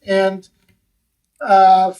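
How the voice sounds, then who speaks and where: Forties man, high voice, easygoing podcast guest, slightly off-mic. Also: monotone, drawn-out words, a little mellow, far from the mic. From West Los Angeles. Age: 40s. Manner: easygoing, chatty storyteller. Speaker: guest, real estate investment advisor. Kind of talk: casual podcast interview.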